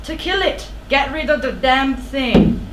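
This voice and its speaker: A woman's voice: high-pitched, animated, measured pace. A woman playing a humble, fearful old shepherd in a stage tragedy, deferential, pleading and evasive. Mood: sorrowful. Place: indoor theatre stage. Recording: echoey theatre, distant mic, noisy.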